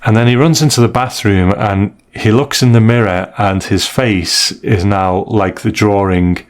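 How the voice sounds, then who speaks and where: Low-voiced man, casual podcast host. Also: steady tone, unhurried. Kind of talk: casual comic review discussion.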